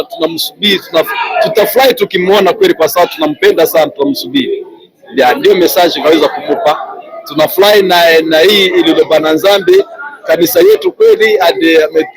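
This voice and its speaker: High-pitched man speaking haltingly among crowd. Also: rough recording. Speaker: church member giving a message. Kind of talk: halting, repetitive spontaneous speech. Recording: live church, crowd audible behind.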